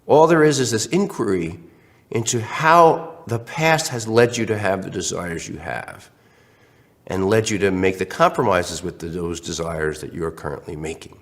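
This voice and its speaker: A man's voice: low voice, moderate pace. A man lecturing online, slightly congested from a cold, with many restarts and fillers. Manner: animated, acts out questions in other voices.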